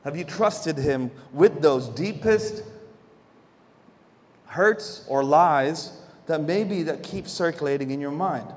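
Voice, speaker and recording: A man's voice: on the high side, slow pace, big-room sound. A man from the Philippines, preaching a sermon in a chatty way with homely stories and frequent restarts. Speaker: pastor.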